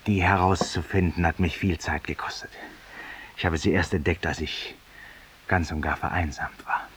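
An actor playing a cynical, self-accusing former lawyer in a 1950s German radio drama monologue, theatrical and ironic, husky, confiding in a listener. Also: male voice, sits low, fairly even intonation, normal speed, a bit bright, a little hiss or hum. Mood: rueful, confiding. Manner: theatrical, ironic, confiding, dropping to near whispers. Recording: muffled, roomy 1950s radio recording.

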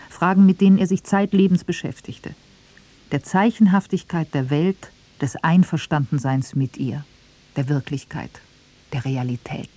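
A woman narrates a radio feature from a script. Her voice is fairly low and very mellow, recorded dry and close. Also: dry recording.

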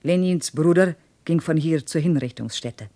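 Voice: medium pitch, moderate pace, mellow, close to an everyday voice. A performer playing an earnest, reverent female Soviet tour guide in a radio play, reciting history. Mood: earnest, proud.

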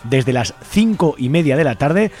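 A man's voice: a little high, animated, normal speed, a little mellow. A man hosting an informal radio interview, explaining things in a colloquial way. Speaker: radio host.

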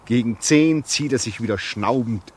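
An actor in a 1950s German radio drama playing a brooding, haunted, lonely railway signalman, halting and intense in manner. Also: mid-range voice, a little mellow, lightly acted. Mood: feverish, obsessive. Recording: noisy 1950s radio recording.

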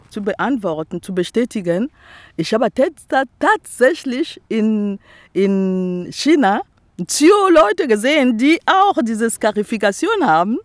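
A woman from the Republic of the Congo with a Congolese accent, whose first language is Teke. She speaks German as an interviewee, searching for words and filling pauses often. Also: mid-range voice, no echo. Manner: halting non-native German, searching for words with many fillers. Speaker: artist, interviewee. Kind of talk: casual interview chat. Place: outdoors in the grass by a lake.

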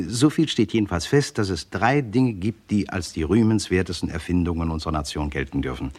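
A low, husky male voice plays a struggling gentleman crime writer in a 1950s radio play. He is chatty and courteous, wandering into wry, hesitant asides and gently mocking himself. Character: struggling English crime writer, genteel, chatty, self-deprecating man.

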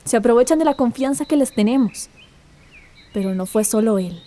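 An actress as a young woman in a radio drama. Her voice is fairly high and mellow, with an earnest, sincere delivery of a hopeful daughter who survived hardship. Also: lively intonation, normal speed, lightly acted. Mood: somber, reflective. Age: young adult.

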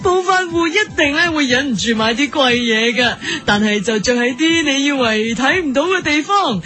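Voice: high-pitched, animated, moderate pace, pushed and bright. A performer voicing a self-assured female pundit who explains things in a knowing, mock-serious way on a comedy talk show. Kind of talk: parody expert interview.

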